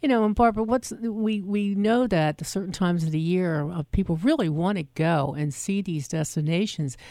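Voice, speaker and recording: A woman host with a South Jersey accent talks in a chatty, conversational way. Her voice is low and mellow. The sound is dry and close. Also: moderate pace, no room sound. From South Jersey, near Philadelphia. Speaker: show host. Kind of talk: casual interview chat.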